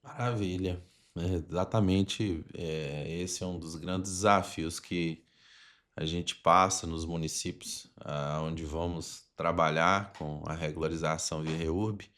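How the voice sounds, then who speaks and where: Deep-voiced Brazilian man hosting a podcast. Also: steady tone, measured pace, a bit bright. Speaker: podcast host. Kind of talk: conversational podcast interviewing.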